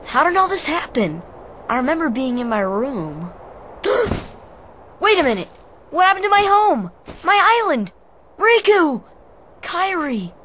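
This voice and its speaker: A man's voice: extremely high pitch, sing-song, unhurried, pauses often, pushed and bright, slight background noise, full character voice. A male actor playing an earnest, spirited teenage boy hero in a video game. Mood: troubled, then alarmed.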